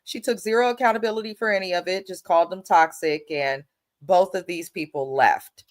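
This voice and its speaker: A woman's voice: lowish voice, brisk. Congested nasal woman hosting a blunt recap. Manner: blunt, animated, swears freely. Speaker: host. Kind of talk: casual TV recap commentary.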